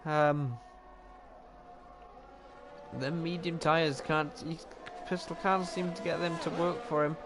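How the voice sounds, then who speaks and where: A man's voice: a little high, slow pace, long pauses. A young Welsh man giving live, chatty commentary on an online racing league, often joking at his own expense and correcting himself. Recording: steady background noise.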